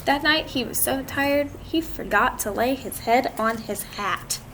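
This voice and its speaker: A woman reading a picture book aloud to children, expressive and drawn out, with chatty asides to her listeners. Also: high-pitched, a little slow. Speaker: read-aloud storyteller. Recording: clearly noisy amateur recording.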